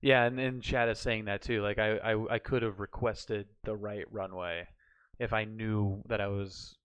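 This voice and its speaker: Low-pitched, clear-voiced man thinking aloud. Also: steady tone, measured pace, a bit bright. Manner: thinks aloud, talking through hypotheticals. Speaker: flight sim streamer learning radio procedures. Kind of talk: casual live-stream chat.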